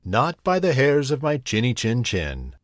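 A man voicing a small, stubborn young pig in a storybook, high and squeaky. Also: huge pitch swings, unhurried, no room sound, clean studio sound. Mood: defiant.

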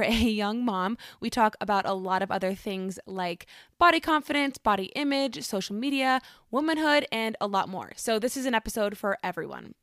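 A woman's voice: mid-range voice, fairly bright tone, no echo, clean studio sound. A woman in her twenties hosting a podcast, talking in a bubbly, upbeat way with lots of fillers. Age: 20s. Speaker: podcast host. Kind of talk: casual podcast interview.

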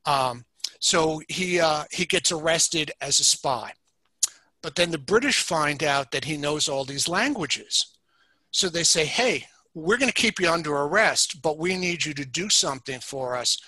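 A man's voice: on the high side, lively intonation, measured pace, pushed and bright, no room sound, low-quality recording. A man telling a history story in conversation, with many restarts and fillers.

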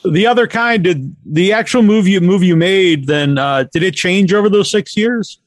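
A man hosting a podcast interview speaks in a somewhat high voice. His tone is relaxed and conversational, with short nods of agreement between longer questions. The recording is dry and close, with no room sound. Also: moderate pace, no echo. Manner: relaxed, conversational, brief affirmations. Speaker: podcast host. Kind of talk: casual interview chat.

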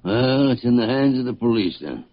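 A man's voice: medium pitch, sing-song, normal speed, lightly acted. A man acting a businesslike hospital colleague in a classic radio drama. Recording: muffled, very noisy old radio recording.

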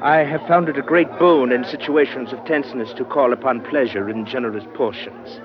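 An actor in an old-time radio adventure playing a genteel, scheming underworld trader with flowery, fussy, unctuously polite speech. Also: male voice, medium pitch, a little fast, crowd noise, natural voice. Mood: suavely menacing. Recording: dull, noisy vintage radio recording.

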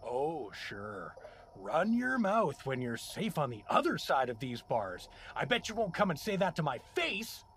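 A man's voice: fairly high pitch, huge pitch swings, measured pace, fairly bright tone, a little hiss or hum, exaggerated voice. An actor playing a dopey, middle-aged everyman dad in a comedic audio drama, sarcastic, flustered and blustering. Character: dopey, indignant everyman dad, a wisecracking prisoner.

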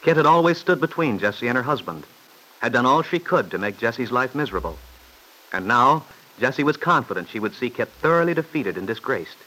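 A man's voice: medium pitch, sing-song, brisk, sharp tone, rough recording. A man narrating an old-time radio soap opera from a script, as the storyteller between scenes.

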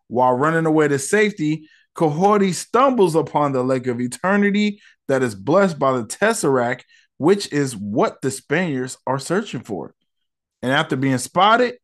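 A man hosts a solo podcast and breaks down an episode in an easygoing, conversational way. His voice sits at an ordinary middle pitch for a man. He leans on slang and plenty of fillers as he talks things through. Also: fairly bright tone. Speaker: podcast host reviewing an episode. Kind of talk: casual solo podcast review.

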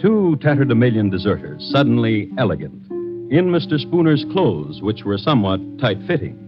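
A man narrating a radio story in a wry manner, with dramatic pauses. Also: medium pitch, big pitch swings, music in the background. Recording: dull, lo-fi old radio recording.

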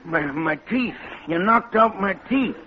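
An actor playing a cowardly, whimpering crook in a 1940s radio comedy. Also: male voice, high-pitched, animated, measured pace. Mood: pained, frightened. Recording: very noisy, muffled old radio recording.

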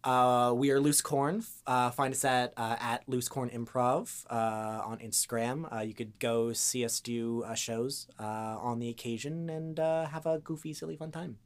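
A man's voice: mid-range voice, fairly even intonation, normal speed, hardly any pauses, dry recording. A man chatting casually as an interview guest, rambling and informal with a goofy streak. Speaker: voice actor and improv comedian, podcast guest.

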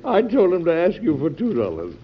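A man's voice: high-pitched, huge pitch swings, brisk, a little hiss or hum. A man acting an elderly, stubborn, principled President with sputtering, emphatic delivery in an old-time radio drama. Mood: dryly amused. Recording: dull lo-fi old radio recording.

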